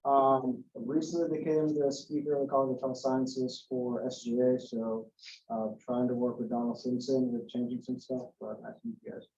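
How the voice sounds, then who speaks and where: Mellow-voiced student from Shreveport. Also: male voice, mid-range voice, flat delivery, normal speed. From Shreveport, Louisiana. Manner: casual and friendly. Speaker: student government representative. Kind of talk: self-introduction at a group meeting. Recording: muffled lo-fi sound.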